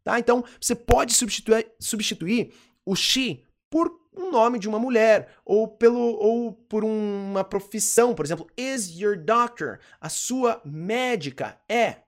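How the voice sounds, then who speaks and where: A teacher, talking to camera in an English lesson and repeating words slowly to drill pronunciation. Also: medium pitch, animated. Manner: explains in quick asides, then stresses English words. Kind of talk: online English lesson for Portuguese speakers.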